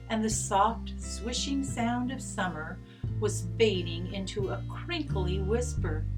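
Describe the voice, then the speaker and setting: A woman reading a children's story aloud, with expressive, gentle and deliberate narration. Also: medium pitch, poor audio. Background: guitar music.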